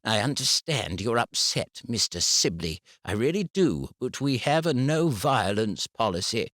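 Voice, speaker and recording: A man voicing a woman head teacher who speaks in a measured, formal way. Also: medium pitch, very animated, fairly bright tone, dry recording, clean studio sound, voice pushed up. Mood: firm. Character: prim woman head teacher, calm and firm.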